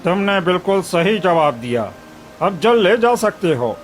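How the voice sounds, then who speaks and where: A man's voice: high voice, very animated, unhurried, faint music behind. A man voicing a mysterious lake spirit, formal and testing, as guardian of sacred water in a cartoon fable. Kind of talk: Hindi moral-story cartoon dialogue.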